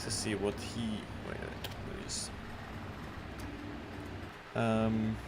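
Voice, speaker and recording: A man's voice: on the low side, monotone, drawn-out words. A man streaming his reaction to a game, chatting casually and hesitantly as he thinks aloud. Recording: loud noise behind the voice.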